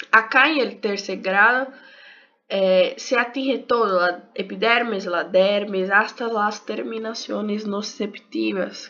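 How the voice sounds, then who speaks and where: A woman's voice: on the high side, pushed and bright. A young woman with a Brazilian Portuguese accent, a native Portuguese speaker giving a casual video lesson in a second language, hesitant and searching for words. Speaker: health sciences YouTuber. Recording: dull lo-fi sound.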